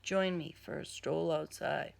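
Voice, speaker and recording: A man's voice: fairly high pitch, steady tone, a little slow, vocal fry. A woman voicing a coolly polite, menacing male serial killer in an audiobook, with formal, genteel wording. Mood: persuasive, controlled.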